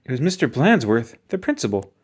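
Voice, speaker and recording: A storybook reader giving an expressive children's read-aloud narration. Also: medium pitch, fairly quick, husky.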